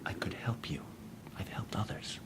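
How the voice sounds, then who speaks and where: An actor playing a furtive doctor in an audio drama, speaking in a clinical, coaxing, hushed manner. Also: male voice, normal speed, in a whisper, natural voice. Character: furtive, insinuating doctor offering illicit help. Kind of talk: understated audio drama acting.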